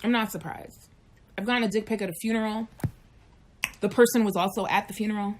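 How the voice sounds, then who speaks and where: A woman in her late thirties chatting frankly and animatedly on a live chat show, telling stories and acting out quick voices. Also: mid-range voice, fairly even intonation, noisy background. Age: 30s. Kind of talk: candid casual chat.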